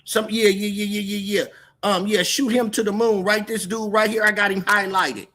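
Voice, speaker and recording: A man's voice: high-pitched, little pitch movement, normal speed, bright, forceful tone. An American man from California hosting a casual livestream, talking in a slangy, repetitive, confrontational way as he moderates the chat. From Oakland, California, US.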